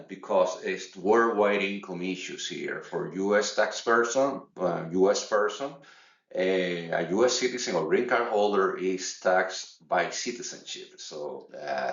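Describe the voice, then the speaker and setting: A middle-aged or older man from Puerto Rico with a Puerto Rican Spanish accent, a native Spanish speaker presenting an instructional webinar in a halting, stumbling delivery. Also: low voice. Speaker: tax accountant presenting a webinar. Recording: distant, roomy, dull lo-fi microphone.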